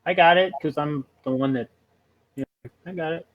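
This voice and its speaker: A man's voice: fairly high pitch, brisk, lo-fi sound. A man playing a tabletop role-playing game, chatting casually and tentatively, circling back over his words.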